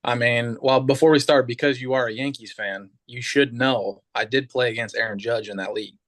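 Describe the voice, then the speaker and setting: A man's voice: mid-range voice, fairly even intonation, a little fast, a bit bright. A man in his mid thirties, a podcast guest, talking in an easygoing, casual way and often answering with short agreeing replies. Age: 30s. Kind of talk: casual chat.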